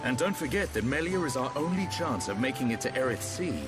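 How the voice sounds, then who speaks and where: A man plays a seasoned video game warrior who leads with a steady, protective hand. His medium-pitched voice moves expressively, wry and commanding, over clear background music. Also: a little fast, close to an everyday voice. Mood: firm, rallying. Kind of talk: video game cutscene acting.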